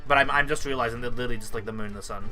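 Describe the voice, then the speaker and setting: A man's voice: medium pitch, racing. A man chatting casually and informally with friends on a game stream. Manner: informal, sweary. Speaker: player in a group game dub stream. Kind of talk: casual chat. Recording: faint music behind.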